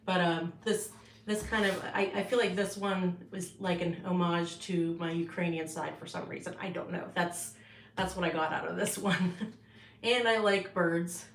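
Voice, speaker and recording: A woman's voice: fairly low pitch, steady tone, moderate pace, roomy sound, a little hiss or hum. A woman artist casually showing her paintings on a livestream, rambling with many fillers and restarts, in her home art studio.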